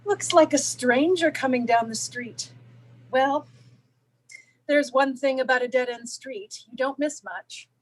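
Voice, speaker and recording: A woman's voice: sits high, unhurried, poor audio. A woman playing a watchful, nosy suburban housewife in a staged play reading. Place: video call.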